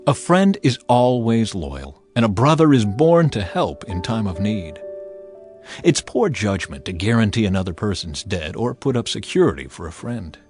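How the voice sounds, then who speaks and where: A man narrating an audio Bible, reading short scripted verses in a measured, declarative and expressive way. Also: lowish voice, soft background music.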